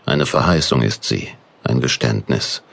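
An extremely deep-voiced man delivers a solemn confession. He is lost and lovelorn, speaking in grave, measured phrases like the prologue of a dark radio play. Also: vocal fry, heavily acted. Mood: solemn, yearning. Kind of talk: solemn dramatic prologue narration.

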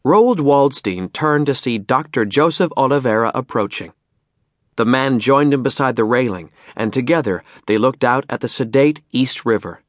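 A man narrates a novel as an audiobook. His voice is medium in pitch and very lively in intonation, recorded dry and close. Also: normal speed, no echo. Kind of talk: expressive scripted narration of fiction.

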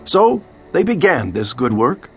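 Earnest devout Nehemiah. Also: male voice, medium pitch, big pitch swings, measured pace, breathy edge, soft background music, heavily acted. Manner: earnest, declamatory. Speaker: first-person narrator. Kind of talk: dramatized audio Bible narration.

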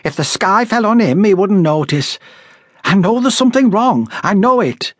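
A woman's voice: mid-range voice, animated, normal speed, no room sound, clean studio sound, voice pushed up, close to an everyday voice. A man voicing a thin, anxious older woman who pleads in a piercing voice, in a storybook reading. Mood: pleading.

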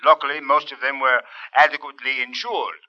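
An actor playing a formal, sarcastic police captain in an old radio drama, avoiding contractions. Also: male voice, mid-range voice, flat delivery, brisk, close to an everyday voice. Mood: dry. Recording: dull 1940s radio recording.

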